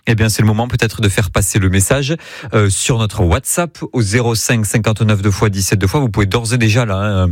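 A man's voice: on the low side, fairly quick, no room sound, studio quality. A man co-hosting a radio show, announcing the next morning's program in a friendly, rambling way with fillers. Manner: friendly, explains at length with fillers. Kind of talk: on-air show announcement and banter.

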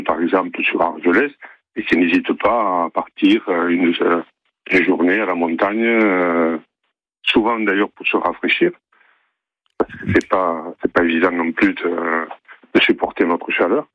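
A middle-aged man from southern France calling in to a radio show, rambling with many pauses. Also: deep voice, fairly even intonation, no room sound. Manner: rambling, hesitant with many fillers. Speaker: caller. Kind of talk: phone-in chat. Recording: muffled phone-line audio.

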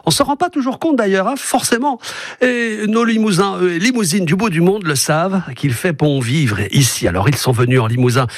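A man hosting a radio show, introducing the next segment in a chatty, lively way. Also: high-pitched, moderate pace, dry recording, clean studio sound. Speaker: radio host.

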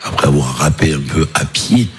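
Super deep voiced guy, chatting on live radio outside, repeats his words, faint music behind. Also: expressive, fairly quick. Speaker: DJ and music teacher, interview guest. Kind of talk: live casual radio interview. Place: outdoors at a live festival.

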